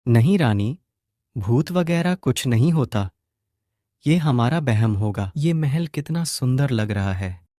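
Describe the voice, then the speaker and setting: A man's voice: medium pitch, expressive, takes it slowly, mellow, no room sound, clean studio sound, pitched above the performer's own voice. A man voicing a brave, curious young boy in a cartoon story, speaking in a bold and reassuring way.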